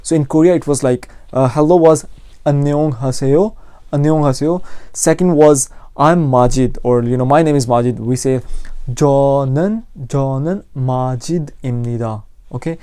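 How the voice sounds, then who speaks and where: A man's voice: mid-range voice, a little fast, mellow, smooth, dry recording. A man with a Pakistani accent teaching an informal language lesson, explaining and repeating phrases for learners. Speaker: language teacher and channel host.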